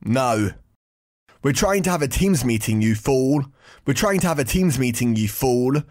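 A man's voice: medium pitch, animated, a little slow, darkish tone, lightly acted. A man playing an irate, grumbling parish councillor in an amateur comic dramatic reading.

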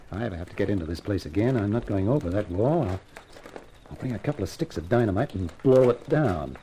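An actor playing a tough, cocky private eye with slangy, hardboiled delivery in a 1950s radio crime drama. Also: male voice, lowish voice, animated, fast, mellow, slight background noise, close to an everyday voice. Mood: grumbling. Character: tough, wisecracking, confident male private eye. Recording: vintage 1950s radio recording.

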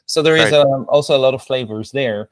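A middle-aged man with an Israeli accent speaks as a guest in a conversational interview. His voice sits at an ordinary male pitch and he explains things reflectively. The recording is dry, with no room sound. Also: a little fast, no room sound. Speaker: podcast guest, product coach.

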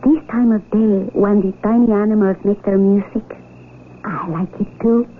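A woman's voice: medium pitch, fairly even intonation, normal speed, close to an everyday voice. A woman acting in a radio drama as an earnest, sheltered young blind villager who speaks in simple, formal words. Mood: friendly, wondering. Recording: tinny radio broadcast with faint noise.

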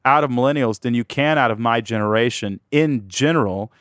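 A man's voice: mid-range voice, fairly quick, smooth, no echo, clean studio sound. A man in his forties hosting a business podcast, chatting casually and joking with his co-host. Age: 40s. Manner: joking, conversational, animated.

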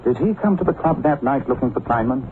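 An actor playing a suave, shrewd detective with a wry, unhurried, pointed delivery in an old-time radio drama. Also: male voice, medium pitch, expressive, natural voice. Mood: cool, accusing. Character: suave, shrewd male amateur detective, calmly confronting. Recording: muffled, hissy vintage radio recording.

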